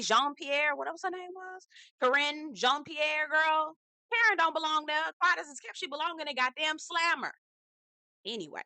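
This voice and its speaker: A woman's voice: a little high, pushed and bright, dry recording. A woman recapping reality TV in a sassy, blunt, casual way, swearing freely and asking "okay?" often. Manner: sassy, blunt, swearing, heavy slang, lots of "okay?" and "girl".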